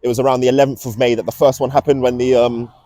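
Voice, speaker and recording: A man's voice: medium pitch, little pitch movement, rapid-fire, mellow, no room sound. A young man, talking earnestly and ominously in a casual rambling vlog as he walks outdoors. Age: young adult. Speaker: vlog host. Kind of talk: casual rambling monologue to camera. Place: outdoors, walking.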